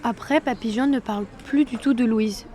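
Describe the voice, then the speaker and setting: Woman as thoughtful granddaughter, higher-pitched voice. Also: normal speed, mellow, a little hiss or hum, natural voice. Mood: pensive. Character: thoughtful young granddaughter reading her grandfather's wartime notebook. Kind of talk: natural dialogue in an audio drama.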